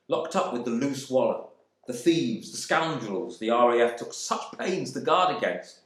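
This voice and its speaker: A man performing a dramatic monologue as a proud, resentful airman in prison, in clipped, brooding phrases. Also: on the high side. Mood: indignant, agitated. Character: embittered English RAF airman imprisoned in India, proud and resentful. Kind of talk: dramatic monologue reading from a novel. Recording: echoey room.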